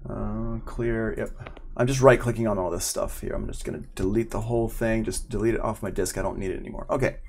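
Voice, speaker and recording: A man's voice: fairly low pitch, fairly even intonation, a little fast, gravelly, a little hiss or hum. A man in his forties giving an informal live online tutorial as an audio instructor, explaining step by step in a casual, conversational way. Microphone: external microphone at a desk, with headphones.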